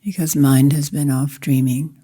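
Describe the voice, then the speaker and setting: A woman meditation teacher guiding a practice in slow, deliberate short phrases with long pauses. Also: very deep voice, flat delivery, warm tone. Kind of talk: guided meditation, slow spoken instruction.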